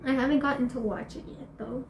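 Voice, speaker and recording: A teenage girl chatting in a bubbly way in a casual home vlog, laughing often and filling pauses with fillers. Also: high-pitched, fairly even intonation, dull audio, slight background noise. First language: Spanish and English. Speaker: YouTuber. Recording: echoey room, faint ambience.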